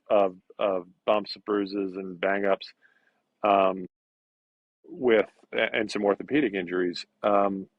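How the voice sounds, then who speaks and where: A man in his fifties talking as an interview guest, in a halting conversational way with repeated words. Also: fairly low pitch, no echo. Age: 50s. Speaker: podcast guest. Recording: dull lo-fi call audio.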